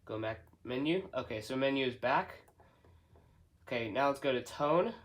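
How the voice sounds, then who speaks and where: A man doing an unscripted product walkthrough, halting as he thinks aloud step by step. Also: medium pitch, lively intonation. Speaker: hobbyist product reviewer. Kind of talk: unscripted unboxing and setup walkthrough.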